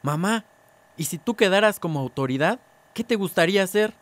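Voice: medium pitch, animated, brisk, natural voice. A performer playing a curious young son in a radio drama, asking questions. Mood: curious, hesitant.

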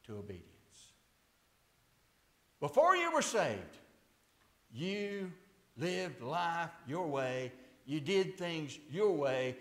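A man in his sixties preaching a fiery sermon at a church pulpit, swinging from hushed tones to shouts. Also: medium pitch. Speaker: pastor. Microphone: lapel mic, far camera. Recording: echoey church sanctuary.

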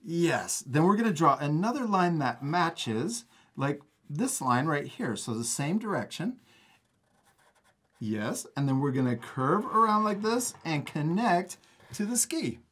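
A man's voice: on the high side, a bit bright, slightly rough. A man hosting a step-by-step drawing lesson for children in a casual, encouraging manner. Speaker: art teacher and host.

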